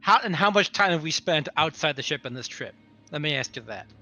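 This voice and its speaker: Sarcastic higher-pitched man with a bright voice. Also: animated, fairly quick, faint music behind. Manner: sarcastic, needling. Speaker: tabletop game player. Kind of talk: casual banter.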